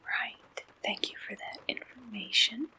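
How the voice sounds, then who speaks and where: A woman performing an ASMR roleplay, gently and deliberately asking questions like an interviewer. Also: a little high.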